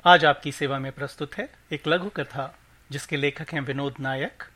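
A man's voice: on the high side, fairly even intonation, normal speed, a bit bright. A man narrating a scripted short story for a podcast, reading as the host.

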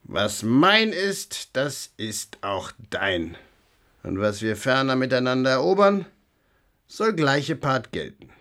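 An actor playing a brutish, greedy robber in a radio play, blustering and emphatic in archaic German. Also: male voice, mid-range voice, big pitch swings, slow, a bit bright, exaggerated voice. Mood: comradely. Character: Olivier, brutish greedy male robber, rough comradely swagger.

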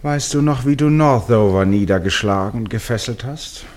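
An actor in a German radio play playing an eccentric, unworried amateur detective who talks in teasing, rhetorical, dismissive turns. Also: male voice, medium pitch, normal speed, darkish tone, close to an everyday voice. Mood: grudging, wry. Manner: rhetorical, breezily dismissive, exasperated.

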